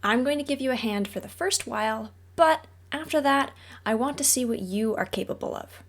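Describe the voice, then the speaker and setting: A woman playing a friendly, patient mentor in a roleplay audio drama, speaking to the listener in a gentle, reassuring, casually instructive way. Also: on the high side, moderate pace. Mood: friendly, explaining. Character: friendly female mentor with powers, patient and encouraging trainer.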